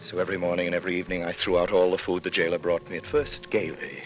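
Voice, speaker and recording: A man's voice: low-pitched, flat delivery, racing, lightly acted. An actor playing an anguished prisoner who recounts his years in a dungeon, grave and intense, in classic radio drama style. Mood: grave, despairing. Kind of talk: classic radio drama acting, dramatic first-person narration. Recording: noisy old radio recording.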